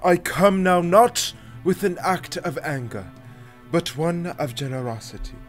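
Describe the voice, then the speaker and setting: A man plays a pompous, smug fantasy tyrant with a fairly high male voice. He speaks slowly and grandly, savoring his own theatrical villainy, with faint music behind him. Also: expressive. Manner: grandiose, theatrical, slow speeches. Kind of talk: scripted audio drama acting.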